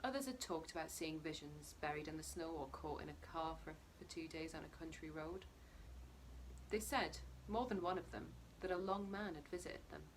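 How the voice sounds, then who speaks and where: A woman narrating a short story expressively, her phrases sometimes dropping to a hush. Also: sits low, normal speed, a little hiss or hum, rough recording. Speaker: story reader. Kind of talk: narrated short fiction reading.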